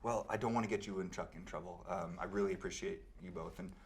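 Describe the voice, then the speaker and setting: A man's voice: sits low, fairly even intonation, quick pace, husky, voice pushed down. A man playing an awkward boss guard who tries hard to seem cool, hesitant and evasive, in improvised tabletop roleplay. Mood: probing, sheepish.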